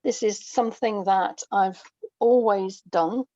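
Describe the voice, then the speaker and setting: A woman's voice: medium pitch, slow pace, dark tone, no echo. A middle-aged woman with a British accent chats as a guest over an internet call. Her sound is dry and a little dull, and she talks reflectively in casual anecdotes, often checking in with tag questions. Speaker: podcast guest, writer.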